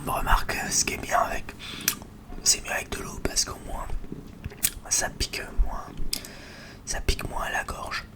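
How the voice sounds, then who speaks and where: A person whispers a breathy, hesitant, rambling ASMR chat to viewers as a video creator. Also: normal speed, pauses often.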